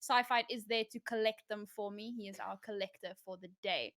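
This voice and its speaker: A woman's voice: medium pitch, fairly even intonation, fairly quick, fairly bright tone. A woman hosting a casual esports podcast, talking conversationally with guests and laughing easily. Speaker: podcast host.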